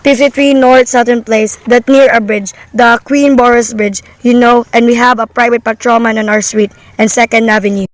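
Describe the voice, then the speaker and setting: A high-voiced, anxious invalid wife played by a woman in an amateur radio play. She is fretful and demanding, pressing her case insistently and at length. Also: fairly even intonation, moderate pace, few pauses, no room sound, lightly acted. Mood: anxious, explaining. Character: anxious, demanding invalid wife reporting an overheard murder plot.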